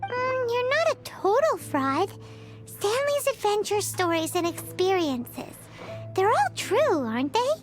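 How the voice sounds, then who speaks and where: A woman's voice: very animated, unhurried, over music, full character voice. A woman voicing a tiny, childlike, outspoken fairy companion with a pouty manner in a fantasy game. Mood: reassuring. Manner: squeaky, pouty, refers to self by name. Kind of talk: cartoonish fantasy game voice acting.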